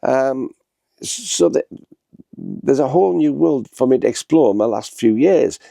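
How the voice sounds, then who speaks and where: A man's voice: medium pitch, animated, measured pace, vocal fry, dark tone, dry recording, studio quality. A man, congested with a head cold, chatting to camera in a rambling, casual vlog with lots of fillers and restarts. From northern England. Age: 60s. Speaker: vlogger. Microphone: small handheld camera.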